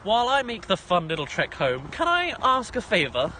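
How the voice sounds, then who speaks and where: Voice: medium pitch, huge pitch swings, normal speed, sharp tone. An enthusiastic, chatty reviewer, narrating a scripted video game countdown. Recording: music behind the voice.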